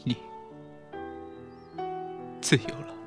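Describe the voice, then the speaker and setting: A man acting a heartbroken, weary, gentle young man in a Mandarin audio drama, restrained and wistful. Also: very slow. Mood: sad resignation. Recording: music behind the voice.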